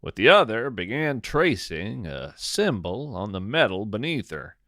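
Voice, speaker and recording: A man narrating a scripted, whimsical nature story in a measured, dramatic storytelling manner with deliberate pauses. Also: fairly low pitch, sing-song, bright, forceful tone, no room sound, studio quality. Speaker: podcast host and narrator.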